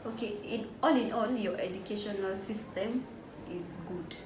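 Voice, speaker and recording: A young woman from Nigeria talks about student life in short, direct sentences at a medium pitch. The room is echoey, and background noise runs under her voice. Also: moderate pace. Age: young adult. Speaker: international student guest. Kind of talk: casual interview answers.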